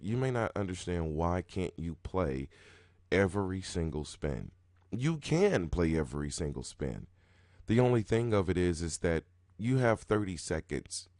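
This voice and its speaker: Very deep-voiced man, dry close sound. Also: no room sound. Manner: rambling, repetitive, many restarts, sudden jumps in pitch and loudness. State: low, groggy morning voice. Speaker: roulette strategy video host. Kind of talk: casual talking-head explanation.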